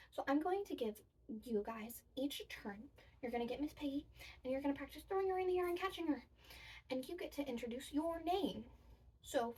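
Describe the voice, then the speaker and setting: A woman with a fairly high voice leads a storytime for small children. She explains activities and reads aloud with sing-song emphasis. Her voice sounds slightly distant from the microphone. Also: slightly rough. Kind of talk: demonstrating a children's storytime activity and reading picture books.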